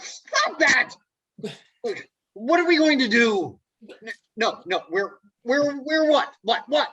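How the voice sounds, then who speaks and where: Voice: high-pitched, very animated, slow, sharp tone, highs cut off, slight background noise, exaggerated voice. An actor playing an exasperated, skeptical, uptight office man, sputtering protests in a comedic table read over a video call. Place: remote video call reading.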